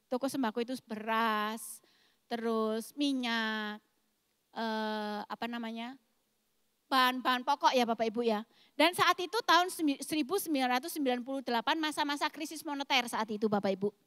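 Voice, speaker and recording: A woman in her forties preaches with a thick East Javanese accent. Her voice is high and forceful, with an explosive, emphatic delivery. It rings through a reverberant hall as she addresses the congregation. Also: normal speed, clean tone, studio quality. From East Java, Indonesia. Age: 40s. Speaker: pastor. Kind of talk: sermon.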